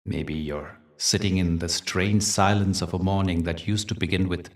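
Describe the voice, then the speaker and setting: A man with a deep, low voice leads a guided meditation. He speaks gently and deliberately, pausing for effect as he tells stories and soothes the listener. His voice sits a little distant from the microphone. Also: steady tone. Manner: gentle, deliberate, soothing delivery with dramatic pauses. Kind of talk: guided meditation talk with storytelling.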